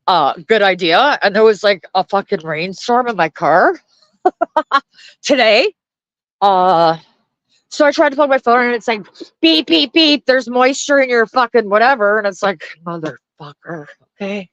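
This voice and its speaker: Woman streamer, mid pitch, dry close phone sound, blunt and swearing, chatty. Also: lively intonation, a little slow, a bit bright, no room sound, dull audio. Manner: blunt, swears constantly, laughs often, talks to chat and dog. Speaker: livestreamer. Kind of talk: profane casual live-stream rant and chat. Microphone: phone held close.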